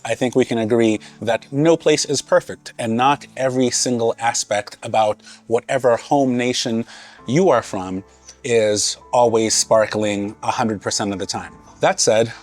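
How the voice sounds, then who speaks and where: A man in his thirties speaks straight to his viewers in a casual, earnest vlog. His voice sits at an ordinary middle pitch, and he talks in a relaxed, reflective way. Faint music plays quietly under his voice. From Haiti, raised in Massachusetts. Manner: earnest, conversational, unhurried. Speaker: vlogger. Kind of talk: casual reflective talk to camera.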